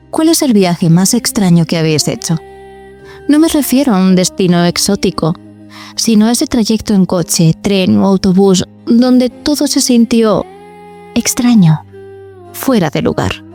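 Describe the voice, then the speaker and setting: A woman with an accent from Spain, hosting with measured, enigmatic, formal scripted narration. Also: mid-range voice, expressive, dark tone, faint music behind. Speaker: podcast host.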